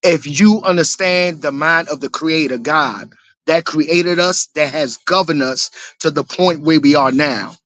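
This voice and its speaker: A man's voice: fairly high pitch, a little slow, fairly bright tone, no room sound. A man from Ghana speaks in a slightly raised voice. He is an earnest, preachy panelist who circles back to the same points. His audio is close and dry, with no room sound, as he talks over a video call. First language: Twi. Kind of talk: casual talk show discussion. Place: home room, remote.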